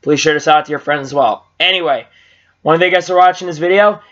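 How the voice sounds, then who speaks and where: A man's voice: on the high side, fast talker. A young man casually recapping a race on a webcam in a small room, rambling with fillers and restarts, his voice a bit strained. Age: young adult. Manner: rambling, lots of fillers and restarts, gets excited. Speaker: vlogger reviewing races. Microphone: webcam at low angle. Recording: slightly dull lo-fi webcam audio.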